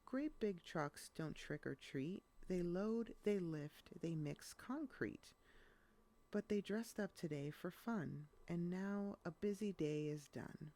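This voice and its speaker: A woman reading a rhyming children's picture book aloud in an expressive storytelling style. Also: on the low side, takes it slowly.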